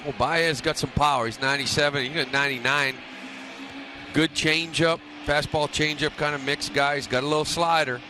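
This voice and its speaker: A man's voice: on the high side, fairly even intonation, moderate pace. A man giving casual, informal baseball analysis as a radio colour commentator, in short plain phrases. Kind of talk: casual live sports analysis. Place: broadcast booth at an outdoor ballpark. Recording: slightly noisy stadium radio broadcast.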